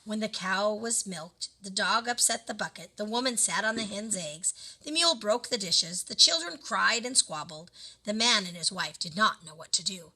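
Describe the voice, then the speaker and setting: A woman reading a children's story aloud in an expressive, dramatic storytelling manner. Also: medium pitch, moderate pace, poor audio. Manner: animated, dramatic delivery of characters.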